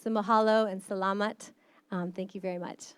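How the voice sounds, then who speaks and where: A woman's voice: mid-range voice, steady tone, brisk, heavy background noise. A middle-aged woman from the American Midwest, a state board of education member, giving an earnest, passionate conference speech. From Midwestern United States.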